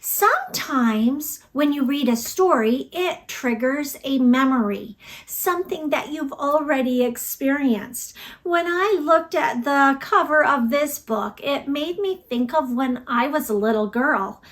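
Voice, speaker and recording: A woman hosting a children's read-aloud, speaking expressively and deliberately in simple phrases for young listeners. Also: high-pitched, animated, no echo.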